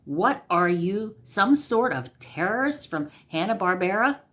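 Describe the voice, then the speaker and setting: A woman's voice: mid-range voice, big pitch swings, a little slow, fairly bright tone. Woman playing a brash young rug salesman. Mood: urgent, exasperated. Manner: glib, slangy.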